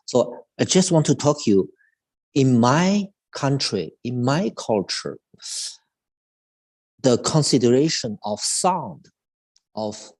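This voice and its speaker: A man in his fifties from China, a native Chinese speaker with a Chinese accent, talks as a cello teacher in a live online chat. He speaks haltingly, with many restarts and fillers. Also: medium pitch, darkish tone. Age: 50s.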